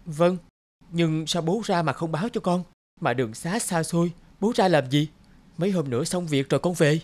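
A woman playing a busy, impatient young fashion designer in a cartoon, talking in a brisk, curt way. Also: sits low, a little mellow, no room sound. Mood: tense. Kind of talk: cartoon dialogue acting.

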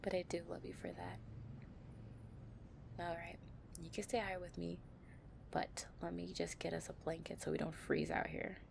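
A woman playing a possessive young gang-leader girlfriend, tough but tender, in an intimate, soft-spoken audio roleplay. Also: on the low side, little pitch movement, normal speed, vocal fry, pauses often, background noise. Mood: affectionate, drowsy.

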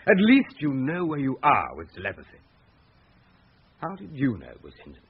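Radio play: defensive sceptic writer, hesitant and prickly, animated pitch, dull recording. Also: male voice, fairly quick, slightly rough, full character voice. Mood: defensive, irritated. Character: defensive, sceptical male writer researching the supernatural. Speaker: actor in a radio drama. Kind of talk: radio drama acting.

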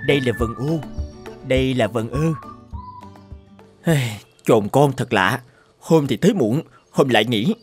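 An earnest woodpecker schoolteacher in a kids' cartoon. His voice is mid-pitched, instructive and chiding, with some music behind. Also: animated, slow pace, long pauses. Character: earnest male woodpecker schoolteacher, concerned and admonishing. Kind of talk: dubbed children's cartoon.

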